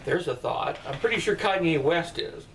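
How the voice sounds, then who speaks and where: Middle-aged guy rambling on about stuff, a bit high voiced, mic kinda far off, faint hiss. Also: expressive, moderate pace, a bit bright. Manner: rambling, blunt, profane asides. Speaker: father answering viewer comments. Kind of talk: casual comment-response chat. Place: bedroom at night, indoors. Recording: distant mic with faint room noise.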